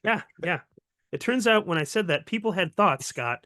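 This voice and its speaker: Bright-voiced man in his forties. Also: high voice, expressive, normal speed, dry recording. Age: 40s. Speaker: podcast co-host. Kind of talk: casual discussion.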